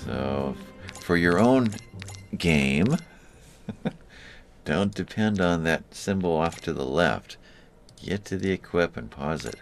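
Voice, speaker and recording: A man's voice: deep voice, expressive, takes it slowly, gravelly, soft background music. A man hosting a let's play, casually coaching his partner through tactics. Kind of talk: casual gameplay commentary. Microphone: desktop USB mic.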